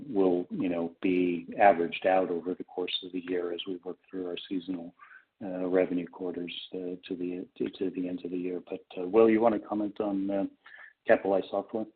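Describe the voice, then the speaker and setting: A male company executive answering questions on an earnings call in a halting, hesitant manner with broken phrasing. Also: deep voice, breathy edge. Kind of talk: unscripted business question-and-answer. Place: conference call line. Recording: muffled, band-limited conference call audio.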